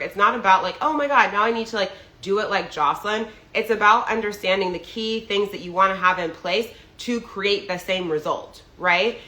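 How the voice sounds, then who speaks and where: Woman, young adult, slightly nasal from a cold, bright tense tone, echoey room, chatty coaching talk. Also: medium pitch. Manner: chatty, filler-heavy, often asks "right?". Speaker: business coach. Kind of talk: casual monologue to followers. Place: New York.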